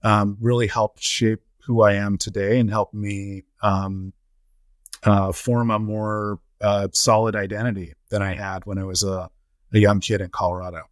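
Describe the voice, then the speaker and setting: Middle-aged guy, lowish voice, slow and thoughtful with lots of ums, dry close mic. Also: level tone, no echo. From United States. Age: 40s to 50s. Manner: measured, many filler "uh"s and "um"s. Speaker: podcast guest, nonprofit CEO. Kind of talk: reflective interview answers.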